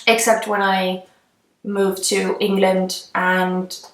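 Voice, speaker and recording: A woman's voice: medium pitch, completely flat delivery, slow pace. Chatty young Estonian woman vlogging. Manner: chatty, gushing, many fillers and trailing clauses. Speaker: beauty vlogger. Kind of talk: casual Q&A vlog. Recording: echoey room.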